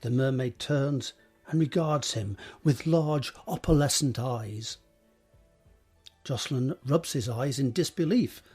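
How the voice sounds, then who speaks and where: A man reading scripted scene directions as a narrator, measured and descriptive, with deliberate pauses. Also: medium pitch, darkish tone, husky, faint music behind.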